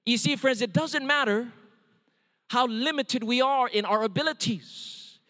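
Hawaiian-accented male preacher, high voice, hall reverb. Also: a little slow, bright, forceful tone. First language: Hawaiian Pidgin. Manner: earnest storytelling with calls of amen. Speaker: evangelist. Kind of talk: testimony sermon.

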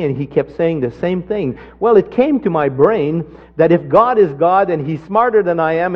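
A man preaching a sermon and telling a personal testimony, climbing sharply in pitch when he drives a point home. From Canada. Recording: dull lo-fi sermon recording.

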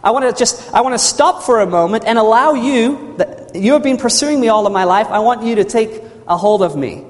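A man's voice: sits high, animated, brisk, hardly any pauses. A man from New Zealand preaching a sermon in a church hall. He tells his story earnestly, repeats phrases and calls for amens. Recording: long reverb of a large hall.

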